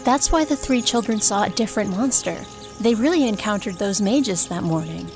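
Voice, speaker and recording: A caring elf mother played by a woman in a fantasy audio drama. Her mid-pitched voice is earnest and practical, with music clearly playing behind. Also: lively intonation, moderate pace, natural voice. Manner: earnest, explanatory.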